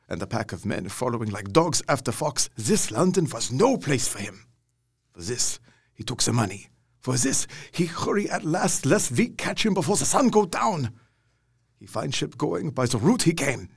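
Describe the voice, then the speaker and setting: An actor playing Van Helsing, an elderly vampire hunter, speaking in broken, grandly declaimed English. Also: male voice, mid-range voice, gravelly, slightly rough, full character voice. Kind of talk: audio drama acting.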